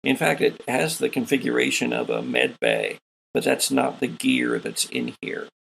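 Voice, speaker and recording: A man with a gravelly, husky voice, narrating a tabletop role-playing game as game master in an unhurried, descriptive way. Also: medium pitch, wildly swooping pitch, a bit bright, a little hiss or hum, low-quality recording.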